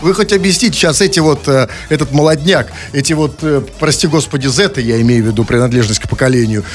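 A man's voice: mid-range voice, quick pace. A man hosting a casual comic radio show, bantering in a mock-serious, digressive way with teasing rhetorical questions. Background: music.

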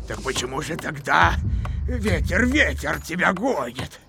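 Dying greedy ogre from a fairy tale, high for a man, super creaky, pleading and wailing. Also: very animated, background noise, exaggerated voice. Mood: anguished, questioning. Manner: drawn-out wailing questions with repeated calls. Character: gluttonous male ogre, dying, pleading and questioning.

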